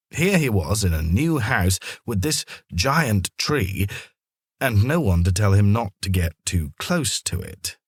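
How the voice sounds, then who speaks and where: A man narrating a children's story in an expressive, scripted storytelling style. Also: on the low side, breathy edge, no echo, clean studio sound.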